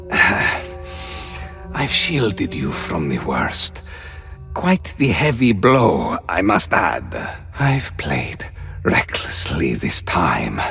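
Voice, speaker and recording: An actor playing a gallant, weary jester, courtly and theatrical, in a video game. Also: male voice, deep voice, wildly swooping pitch, drawn-out words, vocal fry, sharp tone, slightly rough, soft background music, exaggerated voice. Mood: weary gallantry. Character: gallant, weary trickster jester gambler, protective. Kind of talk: dramatic video game acting.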